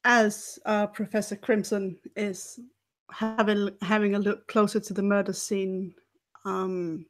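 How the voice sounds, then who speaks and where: A woman's voice: medium pitch, little pitch movement, a little slow. A woman playing a tabletop roleplay game, describing what her character does.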